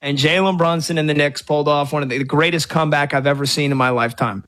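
A male sports radio host speaks in a slightly high, lively voice. He celebrates loudly and throws in shouted catchphrases, with the full clear sound of a studio mic. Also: fast.

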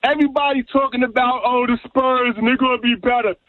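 A high-voiced man with a New York accent calls in to a radio show and brags emphatically. He comes through a tinny, dry phone line. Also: steady tone, fairly quick, dry recording. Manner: boastful, emphatic trash talk. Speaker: radio caller. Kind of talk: call-in sports rant.

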